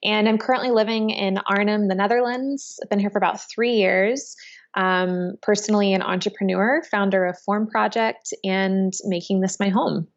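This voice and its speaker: A woman's voice: medium pitch, steady tone, normal speed. Thoughtful American woman, lo-fi sound. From Chicago, United States. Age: 30s. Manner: thoughtful, many ums and hedges. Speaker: interviewee, entrepreneur. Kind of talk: casual interview answers. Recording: dull lo-fi sound.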